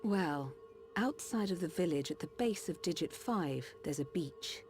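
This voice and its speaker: Female game doctor, calm and dutiful, lower register, prominent music underneath. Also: measured pace. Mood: steady, reassuring. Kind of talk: video game cutscene voice acting.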